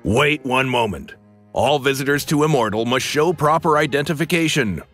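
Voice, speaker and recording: An actor playing a stern, officious town gatekeeper in a game, questioning with suspicion. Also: male voice, medium pitch, lively intonation, normal speed, a bit bright, soft background music, lightly acted. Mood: officious, suspicious. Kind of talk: scripted game character dialogue.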